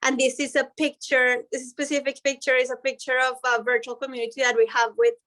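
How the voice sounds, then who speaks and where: A woman presents her language program over a video call. Her voice sits high and sounds bright and clear. She explains things in long, eager sentences. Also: little pitch movement, brisk. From Colombia. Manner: upbeat and explanatory, speaks in long flowing sentences. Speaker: language professor. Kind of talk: presenting in an online panel.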